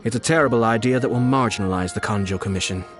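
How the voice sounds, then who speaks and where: An actor playing a shrewd young nobleman in a video game, speaking in a measured, formal, diplomatic way. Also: fairly low pitch, lightly acted. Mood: composed, scheming. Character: composed, shrewd young nobleman and commissioner, courteous strategist.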